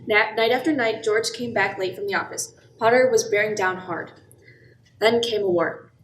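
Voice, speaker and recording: A woman's voice: mid-range voice, normal speed, natural voice. A woman playing the storytelling narrator of an amateur radio play, reading scripted narration. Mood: narrating. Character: storybook narrator recounting a small town's wartime years. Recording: echoey room with background noise.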